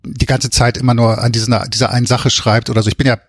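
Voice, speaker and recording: A man's voice: medium pitch, level tone, quick pace, dry recording, studio quality. A man who works as an author, talking conversationally and explaining as an interview guest, often adding tag questions.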